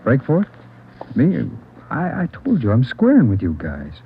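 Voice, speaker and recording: A man's voice: mid-range voice, huge pitch swings, moderate pace, gravelly, slight background noise. A man playing a nervous, self-serving American underworld informant in a vintage radio crime drama. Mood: nervous, eager. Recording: tinny, band-limited old radio recording.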